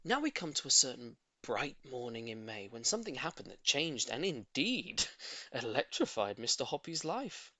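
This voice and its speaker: A man's voice: medium pitch, very animated, normal speed, bright, forceful tone, dry recording. A man narrating a children's story expressively, with dramatic emphasis on key words. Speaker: audiobook reader.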